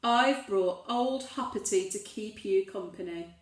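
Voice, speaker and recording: A woman voicing a big male storybook bear, comforting and gently teasing a little one. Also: mid-range voice, unhurried, reverberant, lightly acted. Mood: reassuring.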